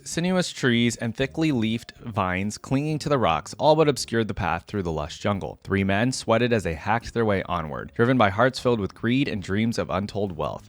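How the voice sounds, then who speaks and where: A man hosting a reaction video, reading game lore aloud expressively and adding casual, informal commentary. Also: lowish voice.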